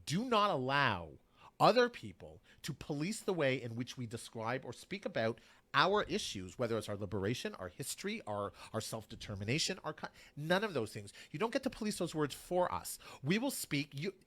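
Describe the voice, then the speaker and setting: A man speaks as a guest on a radio talk show, with an emphatic, passionate delivery. His pitch leaps and falls, and he repeats phrases to drive home each point. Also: very animated, moderate pace, a bit bright.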